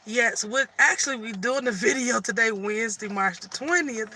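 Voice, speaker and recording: Raspy-ish middle-aged lady vlogging live, really bright sharp tone, rambles and restarts, a little background hiss. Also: fairly high pitch, moderate pace, poor audio. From Michigan. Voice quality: slightly raspy. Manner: rambling, restarts sentences often. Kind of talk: casual live-stream chat.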